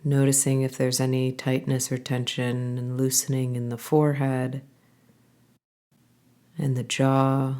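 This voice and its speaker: A woman guiding a body scan meditation, giving calm, gentle instructions in an unhurried way with pauses. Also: very deep voice. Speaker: meditation guide.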